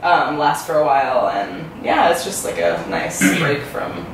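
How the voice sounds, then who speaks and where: A young actress talking with lively, swooping pitch, laughing between thoughts among friends. Her voice is very roomy and far from the camera, with hiss behind. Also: measured pace. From Charlotte, North Carolina. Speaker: actor. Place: dim living room, indoors.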